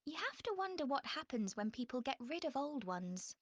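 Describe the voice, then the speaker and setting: A young female student talks through a class project in a scripted exam-listening dialogue. Her voice sits a bit high and is recorded very dry. She is measured, chatty and politely frank. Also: very animated, no echo, highs cut off. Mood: informative, interested.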